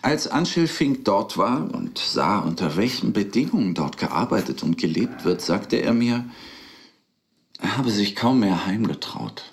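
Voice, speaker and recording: An actor playing a serious, thoughtful man who explains things in a measured, reflective way in a radio drama. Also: lowish voice, very animated. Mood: explaining. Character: thoughtful, serious man recounting experiences of hardship abroad.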